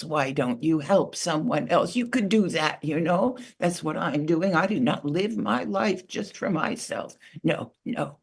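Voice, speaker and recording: A woman voicing a busy, no-nonsense female beaver who lectures in a brisk, dismissive way. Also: fairly low pitch, slightly rough. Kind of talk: storytelling.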